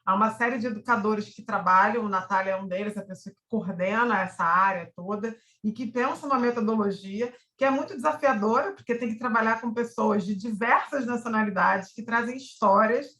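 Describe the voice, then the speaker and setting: A Brazilian woman hosts an online panel, handing over to speakers with light jokes. Her voice is mid-pitched. The room around her sounds echoey. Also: a little fast, hardly any pauses, fairly bright tone. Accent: Brazilian Portuguese. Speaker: event moderator.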